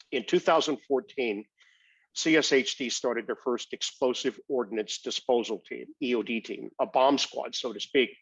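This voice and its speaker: An older man with an American accent gives a prepared personal account in a measured, explanatory way, as a guest speaker. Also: medium pitch, breathy edge, dry recording, highs cut off. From United States. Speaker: humanitarian aid worker, guest speaker.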